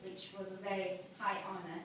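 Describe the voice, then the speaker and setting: A woman's voice: mid-range voice, little pitch movement, fairly quick. Young woman in a very echoey hall. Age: 20s. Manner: earnest, plain-spoken, with many fillers and repeats. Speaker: speaker sharing her story. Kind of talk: personal testimony to an audience. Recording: very echoey hall, mic far off, background noise.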